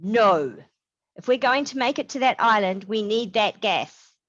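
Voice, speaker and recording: A woman's voice: fairly high pitch, expressive, unhurried, fairly bright tone, muffled recording, voice pushed up. A woman voicing a tough, decisive big sister urgently giving orders in a zombie story. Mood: commanding. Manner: urgent, commanding.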